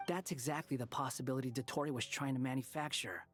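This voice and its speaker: A man's voice: mid-range voice, fairly even intonation, quick pace, lightly acted. Aloof young man played by a male actor for a game, medium register, cynical explainer, faint music. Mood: explaining. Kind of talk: video game voice acting.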